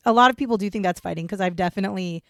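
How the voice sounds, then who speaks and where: A woman's voice: mid-range voice, rapid-fire, gravelly, a bit bright, no echo, studio quality. A woman in her forties co-hosting a podcast in casual banter, chatty, laughing often and swearing freely, with rambling asides. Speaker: podcast co-host.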